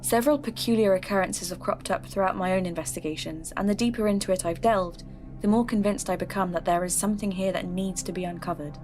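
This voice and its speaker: Woman acting troubled folklore podcast host, measured n earnest narration, formal wording, music behind her. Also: mid-range voice, a little mellow, lightly acted. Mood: measured, uneasy. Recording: music under the voice.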